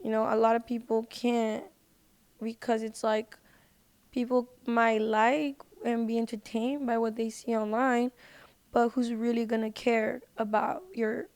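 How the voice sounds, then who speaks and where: A woman's voice: a little high, level tone, measured pace, darkish tone, clear, resonant tone. A woman speaking as a podcast guest in casual, slangy conversation with lots of filler "like.". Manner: informal, slangy, frequent "like". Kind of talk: casual chat. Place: podcast recording.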